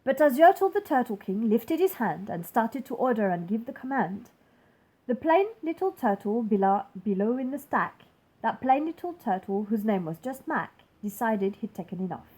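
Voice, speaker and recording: A woman reads a children's rhyming story aloud. Her voice sits a little high and moves up and down a great deal, giving the narration an expressive, storytelling shape. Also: moderate pace, husky, poor audio. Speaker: story-time reader for children.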